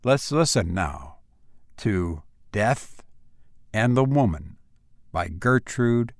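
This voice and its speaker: A man speaking as a podcast host and story reader, with measured, deliberate phrasing, close on a voice-over mic. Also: lowish voice, sing-song, dry recording. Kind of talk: podcast introduction and audiobook-style narration.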